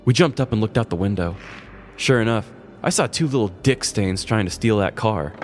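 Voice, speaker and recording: A man with a fairly low voice narrates a scripted comic tale. His delivery is wry and dramatic, and music plays faintly behind him. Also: animated, moderate pace. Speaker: podcast host and storyteller.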